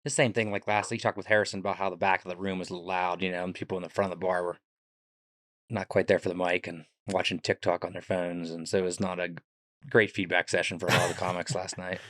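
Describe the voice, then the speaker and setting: Fast-talking man with a Pittsburgh accent. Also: low-pitched, no room sound. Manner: quick, animated explainer, swears casually. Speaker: guest, economics professor and comedian. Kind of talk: casual podcast chat.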